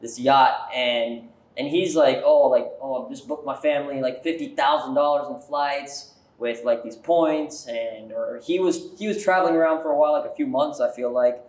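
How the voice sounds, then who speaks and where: A man's voice: mid-range voice, normal speed, hardly any pauses, a little mellow, roomy sound, poor audio. A man conducting a casual interview, speaking informally and peppering his questions with "like". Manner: informal, filler-heavy with frequent "like".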